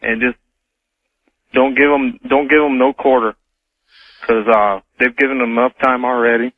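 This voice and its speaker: Tinny phone audio, male caller, American accent, mid-pitched voice, casual opinionated talk. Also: fairly even intonation, moderate pace. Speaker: first-time phone caller to a radio show. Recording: tinny narrow phone line, dry.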